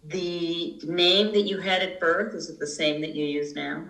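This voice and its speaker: A man interviewing, asking procedural questions in a calm, brief manner. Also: on the high side, a little slow, echoey room.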